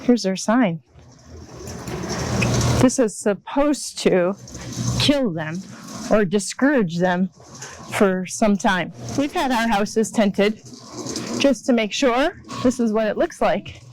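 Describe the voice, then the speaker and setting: A woman's voice: mid-range voice, lively intonation, slow pace, long pauses, background noise. A woman in her fifties chatting casually as she walks around a marina, filming her own vlog. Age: 50s. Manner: chatty, laughs easily. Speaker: vlogger touring a boat yard. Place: outdoors at a marina.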